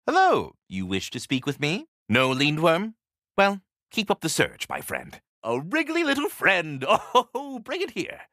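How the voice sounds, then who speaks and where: An actor playing a polite, fussy talking squirrel in a video game, with courtly, formal and theatrical phrasing. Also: male voice, a little high, pushed and bright, no echo, studio quality, full character voice. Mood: cheerful, effusive. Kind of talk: video game character dialogue.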